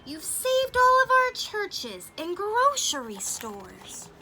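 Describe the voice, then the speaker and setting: A woman voicing a tiny, grateful male mayor of a microscopic town, with a high piping manner. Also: huge pitch swings, slow pace, very noisy, exaggerated voice.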